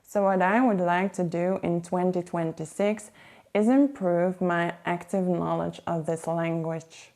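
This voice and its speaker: A woman with a Belgian Dutch accent, a native Dutch speaker, hosting her language vlog in a careful, measured way. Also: on the low side, mellow. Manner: slow, careful, measured delivery. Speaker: language vlogger. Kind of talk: scripted vlog talk to camera.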